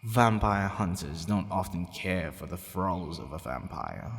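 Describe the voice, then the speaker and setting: A man's voice: deep voice, animated, unhurried, gravelly, full character voice. A man playing a haughty, possessive vampire who is gradually growing fond of his captive, in an ASMR roleplay, with a teasing, commanding manner and hesitant asides. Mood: stern, commanding. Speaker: audio roleplay voice actor. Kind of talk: ASMR boyfriend roleplay acting. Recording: long reverberant hall echo.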